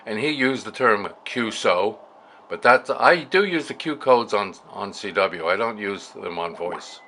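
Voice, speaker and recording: Low-voiced man over clear background noise. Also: expressive, measured pace, bright, forceful tone. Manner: explanatory, thinking aloud while working. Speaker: amateur radio hobbyist demonstrating a receiver. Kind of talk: casual unscripted hands-on demonstration. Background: shortwave radio stations and static.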